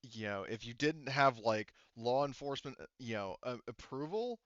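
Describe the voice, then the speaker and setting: Smooth-voiced man running a game, dry sound. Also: medium pitch, expressive, normal speed, fairly bright tone, no echo, highs cut off. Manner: rambling, thinking aloud, casually profane. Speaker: game master. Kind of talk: casual tabletop roleplaying game talk.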